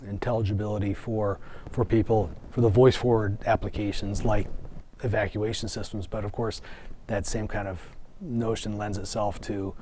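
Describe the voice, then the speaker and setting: Low-voiced man explaining, faint noise behind. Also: level tone, moderate pace, warm tone. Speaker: company executive. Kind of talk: explanatory interview talk.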